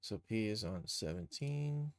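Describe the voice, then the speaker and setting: A man giving an informal live software tutorial, thinking aloud and muttering steps to himself as he works. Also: lowish voice, animated, a little slow, no room sound. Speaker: live streamer, game and cartoon developer.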